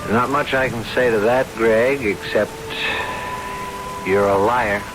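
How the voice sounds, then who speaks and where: An actor as a protective male lawyer with a mid-pitched voice. He is steady, earnest and blunt in old radio drama style. Clear hiss sits over the old broadcast. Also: takes it slowly, lightly acted. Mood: blunt accusation. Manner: earnest, firm.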